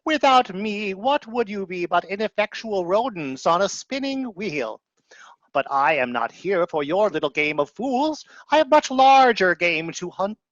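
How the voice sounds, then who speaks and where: A man's voice: high-pitched, big pitch swings, measured pace, fairly bright tone, no room sound, exaggerated voice. A man voicing existential dread personified as a haughty, absurd menace in a radio play, taunting and theatrical. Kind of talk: mock-archaic amateur radio play acting. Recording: dull, dry lo-fi video-call audio.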